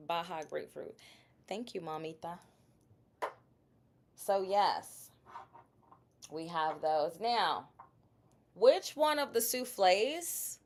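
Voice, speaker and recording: A woman hosts a casual livestream, chatting straight to her viewers and tossing out quiz questions as she shows her products. Her voice sits a little low, and she takes her time, drawing her words out at an unhurried pace. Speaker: livestream host selling bath products. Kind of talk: casual live sales stream.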